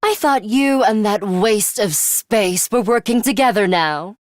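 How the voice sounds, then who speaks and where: A woman playing a stern, ruthless young female fighter in a video game, speaking in a terse, measured and commanding manner. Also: mid-range voice, no room sound, studio quality, natural voice. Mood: cold, stern. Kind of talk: scripted video game voice acting.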